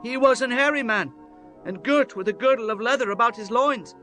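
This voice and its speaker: A man plays an earnest, deferential follower in a biblical audio drama. The voice is very high for a man and bright, with formal archaic phrasing and faint music behind it. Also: fairly quick. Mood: earnest reporting. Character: earnest, deferential men: messengers, pleading captain, loyal disciple. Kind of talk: dramatized scripture reading.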